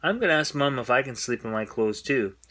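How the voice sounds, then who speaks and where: A man's voice: medium pitch, animated, a little fast, fairly bright tone, lightly acted. A man reading a storybook, voicing a bossy, teasing little sister. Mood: cheeky. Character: cheeky, bossy little sister D.W. from a picture book.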